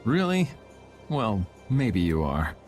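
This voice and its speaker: A man's voice: fairly low pitch, very animated, takes it slowly, darkish tone. An actor playing a laid-back, wry young man in a video game cutscene, with music behind. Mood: wry, thoughtful. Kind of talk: English game cutscene voice acting.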